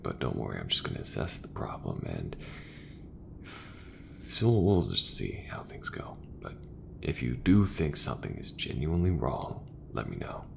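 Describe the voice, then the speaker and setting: Casting: male actor as reassuring sci-fi repairman, soft ASMR roleplay murmur, extremely low and heavily creaky. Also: background noise, heavily acted. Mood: calm, focused. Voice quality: very low, soft, breathy murmur, often near whisper. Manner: unhurried, reassuring, folksy. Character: gentle, reassuring human mech mechanic man, sci-fi.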